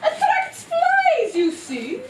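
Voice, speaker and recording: A woman's voice: very high voice, huge pitch swings, extremely slow, exaggerated voice. A woman voicing a vain, genteel lady mushroom in a puppet show, with affected, theatrical airs. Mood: offended, proud. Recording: roomy, distant live bootleg, faint noise.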